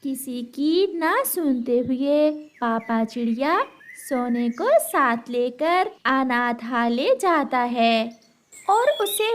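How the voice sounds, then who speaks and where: A woman narrating a scripted cartoon story. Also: high-pitched.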